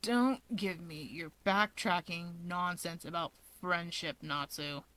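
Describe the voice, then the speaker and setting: A woman voicing an irritated, menacing young man in a story reading, speaking in a flat, dry, deadpan way. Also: lowish voice, takes it slowly, pitched below the performer's own voice. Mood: irritated, threatening. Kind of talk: expressive fan fiction character reading.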